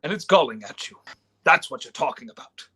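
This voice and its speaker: A man's voice: a little high, expressive, fairly quick, bright, forceful tone, slightly rough, heavily acted. A man playing an earnest, indignant young idealist who stammers, in a live radio play reading. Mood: indignant, impassioned. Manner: stammering, impassioned. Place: remote online reading from home.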